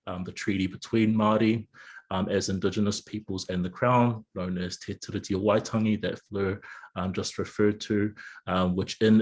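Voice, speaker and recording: A man's voice: on the low side, darkish tone, breathy edge. A man from Samoa, a law lecturer giving an earnest prepared talk over a video call, with some stumbling restarts. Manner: earnest, with occasional stammered restarts.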